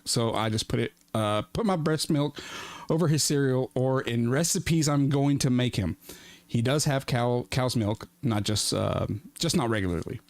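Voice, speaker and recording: A man's voice: medium pitch, no room sound. A man co-hosting a casual podcast, reading a Reddit post aloud and laughing often as he goes.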